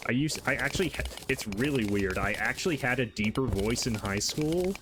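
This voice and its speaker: A man's voice: mid-range voice, expressive, fairly quick, background noise. A man in his thirties with a light voice, chatting casually while streaming a game and rambling through answers to his chat.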